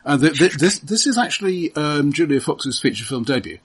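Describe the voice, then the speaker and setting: A man co-hosting a casual film discussion, analytical and often halting, repeating words as he frames a point. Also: on the high side, lively intonation, breathy edge. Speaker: film podcast host.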